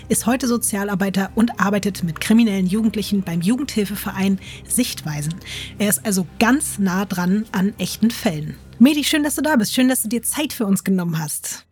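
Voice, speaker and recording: A woman's voice: mid-range voice, moderate pace, darkish tone, faint music behind. A woman from Berlin co-hosting a podcast, presenting in a conversational style. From Berlin, Germany. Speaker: podcast co-host.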